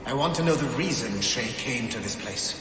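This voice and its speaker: An actor playing a formal, calculating male mastermind in a video game, speaking with measured authority. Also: mid-range voice, lively intonation, lightly acted. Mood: measured command. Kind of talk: dramatic game dialogue.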